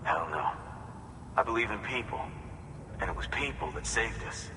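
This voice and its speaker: A grateful, worn survivor, with a very low voice. He talks earnestly and haltingly. The space rings like a big hall, with clear noise behind. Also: expressive. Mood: solemn conviction. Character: grateful, weary male city survivor rebuilding with hope. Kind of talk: dramatic game cutscene acting.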